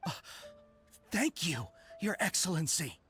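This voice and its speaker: A man's voice: fairly high pitch, very animated, unhurried, a bit bright. A man voicing a dutiful, contrite soldier in a video game, speaking to a commander in a deferential, earnest, formal way. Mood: bewildered. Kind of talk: scripted video game voice acting. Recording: music behind the voice.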